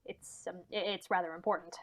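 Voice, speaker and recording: A woman's voice: lowish voice, fairly even intonation, fairly quick. A woman acting a nervous, hesitant man in an old-time radio mystery, stammering as the character confides. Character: anxious man confiding a suspected family murder.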